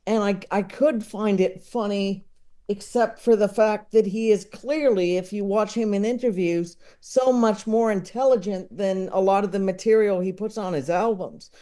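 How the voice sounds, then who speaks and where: A woman's voice: mid-range voice, moderate pace, mellow. A woman chatting casually as a podcast guest, often searching for her words as she goes. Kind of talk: casual chat.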